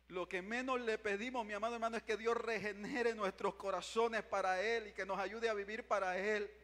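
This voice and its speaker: A man's voice: high-pitched, steady tone, moderate pace, fairly bright tone. A young man preaching a fervent revival sermon, pacing the stage with a handheld mic and calling out to his listeners again and again. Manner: impassioned, repeats "mi amado hermano", paces the stage. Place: large church sanctuary. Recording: long church reverb.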